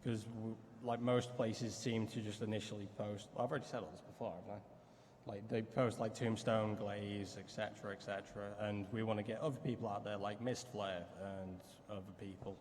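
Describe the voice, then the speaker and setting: A man's voice: on the low side, little pitch movement, normal speed, darkish tone. A man, a DJ on a convention panel, talking in a rambling, casual way full of fillers. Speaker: DJ and music page runner. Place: convention hall stage. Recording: hall reverb, faint noise.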